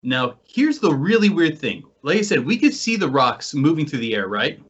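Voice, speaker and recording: Casting: American male podcast host, fairly high voice, conversational storyteller who poses questions to listeners, dull online-call sound. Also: sing-song, moderate pace, husky. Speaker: podcast host and paranormal investigator.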